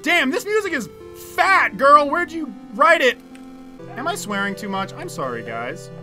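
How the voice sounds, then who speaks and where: Animated, bright-voiced young man streaming horror. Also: extremely high pitch, a little slow. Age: young adult. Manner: jokey, excitable, crude swearing. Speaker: game streamer. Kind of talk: livestream horror game commentary, chatting to viewers. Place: small dim room indoors at home. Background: horror game music.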